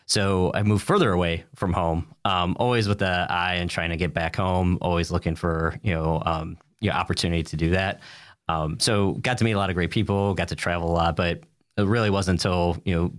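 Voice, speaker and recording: An American man with a deep voice talks as an interview guest. His answers are casual and wandering, padded with small filler phrases. The sound is dry, with little room around it. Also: fairly even intonation, fairly quick, no echo. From United States, upstate New York. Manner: rambling, peppered with "you know" and "like".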